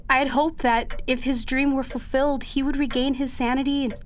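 A woman's voice: sits high, level tone, slight background noise, close to an everyday voice. Woman acting an earnest, anguished young daughter. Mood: earnest, resolved. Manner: earnest, formal old-fashioned phrasing, halting with emotion. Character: dutiful, anguished young daughter confessing a long deceit. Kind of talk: period radio drama acting.

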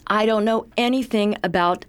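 A woman playing a smooth, scheming corporate heiress in a radio drama, coolly persuasive and self-assured. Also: medium pitch, moderate pace, dry recording, natural voice. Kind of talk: science fiction radio drama acting.

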